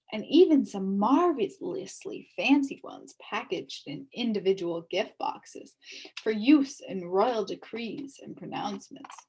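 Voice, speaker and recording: A woman reading a children's novel aloud with expressive, animated storytelling. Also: mid-range voice, slightly rough, rough recording. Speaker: storybook reader.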